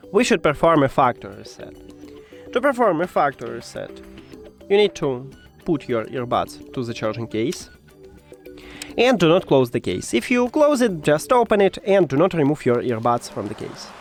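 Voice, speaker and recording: A man with non-native English walks through a device tutorial step by step. His voice sits a little high and swings up and down in a lively way, though his phrasing is halting. Soft music plays faintly behind him. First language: not English. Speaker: tech tutorial presenter.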